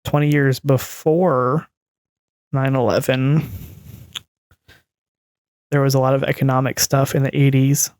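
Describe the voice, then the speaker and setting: A woman's voice: rumbling low, flat delivery, a little slow, long pauses, a little mellow, dry recording, studio quality. A woman in her twenties in casual discussion as a podcast co-host. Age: 20s.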